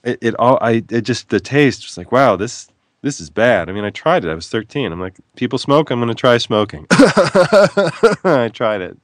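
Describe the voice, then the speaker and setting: A man's voice: medium pitch, very animated, moderate pace, no room sound. A man who is an author, talking as a guest in a casual radio interview, playful and wry, wandering off on tangents.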